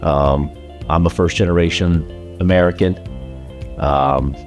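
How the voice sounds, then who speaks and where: Low-voiced man reminiscing over music. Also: steady tone, measured pace. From grew up in South Carolina, born in China. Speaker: law school alumnus, interviewee. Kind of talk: conversational interview, reminiscing.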